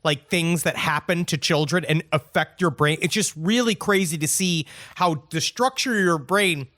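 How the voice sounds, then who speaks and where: A podcast co-host musing in casual banter, loose and rambling. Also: male voice, fairly high pitch, normal speed, pushed and bright.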